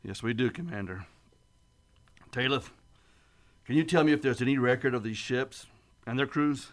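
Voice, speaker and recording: A man playing a composed, courteous starship captain in a science fiction radio drama, with a measured, authoritative manner. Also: medium pitch, natural voice. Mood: decisive.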